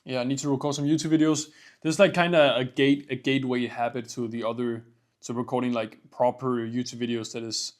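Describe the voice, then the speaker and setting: Young man, Danish accent, vlogger, middle pitch, rambling, casual swearing. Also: level tone, fairly quick. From Denmark. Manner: rambling, swears casually, says "bro" and "like" a lot. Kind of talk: casual vlog monologue.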